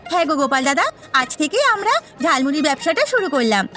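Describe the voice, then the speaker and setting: Woman voicing a very high, bright cartoon village girl. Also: very animated, fast talker, faint music behind, heavily acted. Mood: cheerful. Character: earnest, eager young village sisters selling snacks. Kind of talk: Bengali cartoon voice acting.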